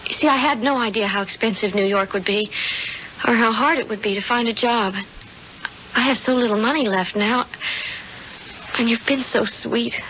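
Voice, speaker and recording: A woman's voice: a little high, moderate pace, pauses often, fairly bright tone, natural voice. An actress playing a sweet, sheltered young small-town woman, shy and earnest, in a 1970s radio drama. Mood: shy, embarrassed. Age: young adult. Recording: dull, noisy old radio drama recording.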